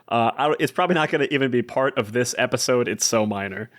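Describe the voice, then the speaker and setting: A man's voice: mid-range voice, quick pace, fairly bright tone, natural voice. A man playing an exasperated adventurer who rambles and pleads with sarcasm, in a tabletop game. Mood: exasperated, dismissive. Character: exasperated, fast-talking adventurer haggling over deals.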